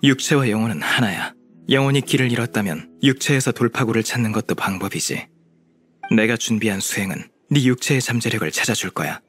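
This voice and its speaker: A man's voice: on the low side, expressive, brisk, a bit bright. A man playing a cold, strict immortal warrior mentor in a video game, speaking curtly and solemnly. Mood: solemn, instructive. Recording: music playing behind the voice.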